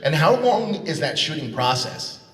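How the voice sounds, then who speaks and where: A man's voice: a little high, sing-song, moderate pace, a bit bright. Male moderator in a cavernous echo. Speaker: panel moderator. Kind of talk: casual interviewing. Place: large convention hall panel. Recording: echoey hall, distant PA sound.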